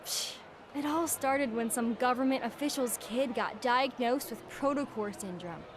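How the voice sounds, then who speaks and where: An actress playing an earnest, inquisitive young student in a video game. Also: female voice, a little high, a little slow, background noise, lightly acted. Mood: indignant. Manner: enthusiastic, earnest. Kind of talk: scripted game voice acting.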